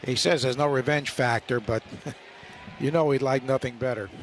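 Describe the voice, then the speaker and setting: A man's voice: medium pitch, fairly even intonation, moderate pace, a little hiss or hum. A man adding commentary during a live baseball radio broadcast.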